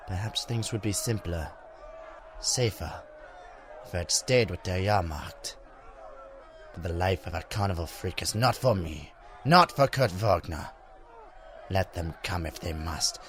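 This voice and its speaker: An actor playing a hunted, anguished and proud young mutant in a superhero audio drama. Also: male voice, sits low, fairly bright tone, a little hiss or hum, heavily acted. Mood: bitter, resigned. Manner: theatrical, dramatic soliloquy. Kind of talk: fan-made superhero audio drama acting.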